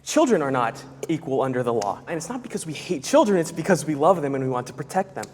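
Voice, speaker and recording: A man who makes online videos, speaking haltingly with many pauses during a debate. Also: on the high side, very animated, a little mellow, big-room sound, slight background noise. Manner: hesitant, halting. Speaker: YouTuber, debate participant. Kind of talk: casual debate.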